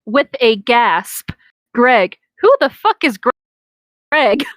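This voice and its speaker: A woman's voice: fairly high pitch, expressive, slow pace, no room sound. A woman reading fan fiction aloud with sarcastic, sweary casual commentary, close on the microphone. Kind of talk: casual comedic commentary and read-along.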